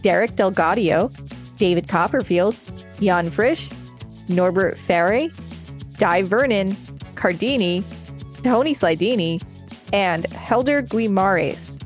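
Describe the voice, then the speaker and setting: A woman's voice: medium pitch, expressive, takes it slowly, long pauses. Woman podcast host reading over music. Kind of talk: read-aloud encyclopedia text with casual commentary.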